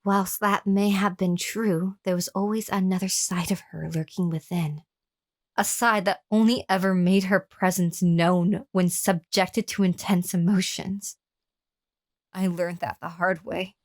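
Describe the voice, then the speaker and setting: A woman's voice: on the low side, fairly even intonation, a little slow. A woman playing a wounded captive recounting her lover's abuse in a horror radio drama. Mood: somber, reflective. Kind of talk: first-person horror radio drama narration with acted dialogue.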